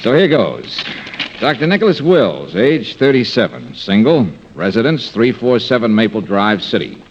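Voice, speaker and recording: An actor playing a wisecracking, smooth-talking private investigator in a 1950s-style radio detective drama. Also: male voice, medium pitch, very animated, moderate pace, lightly acted. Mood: brisk, businesslike. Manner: smooth-talking, casual, teasing. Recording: dull, noisy old-time radio recording.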